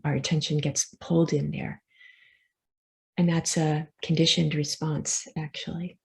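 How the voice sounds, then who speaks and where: A woman meditation teacher with a lowish, mellow voice and a good deal of creak. She gives soft, reassuring guidance, leaving pauses between gentle instructions. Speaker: meditation teacher leading a session.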